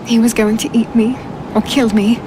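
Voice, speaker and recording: A woman's voice: a little high, little pitch movement, moderate pace, background noise, natural voice. A woman playing a defiant human fighter, telling her ordeal in first person as dramatic fantasy storytelling. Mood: tense, reflective. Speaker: narrator and heroine.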